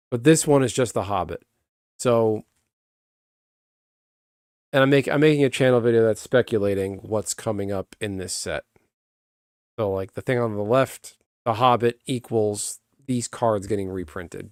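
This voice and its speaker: A man chatting casually on a stream, rambling and breaking off mid-sentence, answering his viewers in a calm, even voice. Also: lowish voice, dry recording, studio quality. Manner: rambling, self-interrupting, chats with viewers. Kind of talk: casual live-stream chat.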